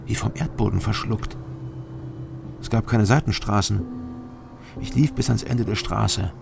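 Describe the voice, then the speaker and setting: An actor playing a weary, hard-drinking private detective who narrates a crime radio drama in terse, clipped sentences. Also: male voice, lowish voice, a little slow, gravelly, background noise. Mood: brooding. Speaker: narrator and lead investigator.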